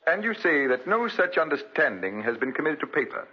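An actor playing a polite, uneasy, deferential lawyer in a 1940s radio drama, speaking in a halting, courteous way. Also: male voice, a little high, very animated, breathy edge. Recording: muffled, noisy vintage 1940s radio recording.

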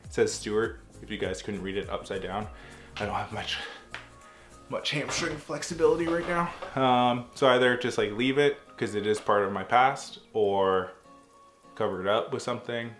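Young male vlogger, slightly nasal with a cold, low voice, echoey room, faint music behind. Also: normal speed. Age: young adult. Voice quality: a little nasal. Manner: relaxed, many ums and restarts. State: getting a cold. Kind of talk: casual chat to camera. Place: home living room, indoors.

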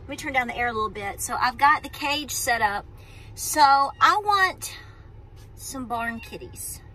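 A woman talks straight into her phone from a parked car, her voice high and bright. She rambles in a friendly, chatty way, looping back and restarting her thoughts. Faint background noise hums along under her voice. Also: expressive, a little slow. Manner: chatty, rambling, self-interrupting. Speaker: homestead vlogger. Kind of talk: casual talk to camera. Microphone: phone camera at arm's length.

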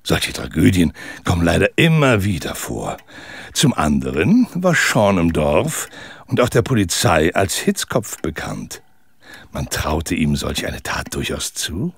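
A man acting a smug, cold-blooded murderer in a German audio drama, gloating and deliberate. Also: low voice, big pitch swings, creaky, slightly rough, dry recording, exaggerated voice. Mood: smug confession.

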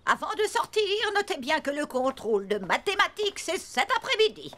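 A woman's voice: high voice, very animated, fairly quick, a bit bright. A woman voicing a strict older schoolteacher in a cartoon, authoritative and sing-song. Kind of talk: animated cartoon dubbing in French.

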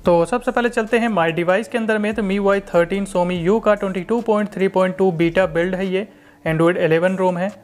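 A man's voice: high-pitched, fairly even intonation, moderate pace. A young man with an Indian accent, narrating a hands-on tech review in an informal, explanatory way. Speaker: tech reviewer, channel host.

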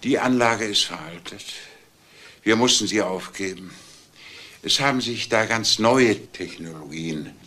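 Förmlicher Geschäftsmann mit tiefer, scharfer Stimme. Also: male voice, fairly low pitch, measured pace, sharp tone. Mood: formal, wistful. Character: formal, wistful older businessman clinging to his office.

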